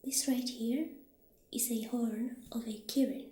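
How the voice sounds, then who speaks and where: A woman playing a friendly witch shopkeeper in a soft-spoken ASMR roleplay, gentle and hesitant, with a breathy, half-whispered voice. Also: fairly high pitch, steady tone, lightly acted. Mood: calmly explaining. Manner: gentle, hesitant, halting delivery. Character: friendly, humble witch shopkeeper selling magic wares. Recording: roomy echo, clear background noise.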